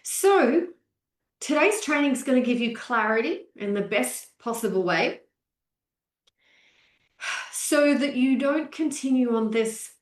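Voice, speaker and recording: A woman's voice: sits high, lively intonation, takes it slowly, pauses often, reverberant. A woman in her forties, a nutrition coach, hosting a live-stream training and greeting viewers by name in an upbeat way. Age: 40s.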